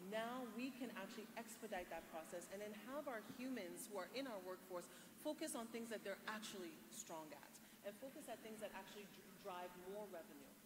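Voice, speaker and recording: A woman's voice: medium pitch, animated, a little fast, few pauses, noisy background. A woman giving an enthusiastic, persuasive conference presentation. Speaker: conference speaker. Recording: amplified in a large hall.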